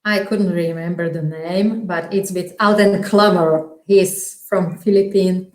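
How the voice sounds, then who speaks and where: Slow-talking woman with a Slovak accent. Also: lowish voice, steady tone, a little mellow, reverberant. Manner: halting, searching for words, frequent restarts and laughs. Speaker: interview guest. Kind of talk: casual interview chat.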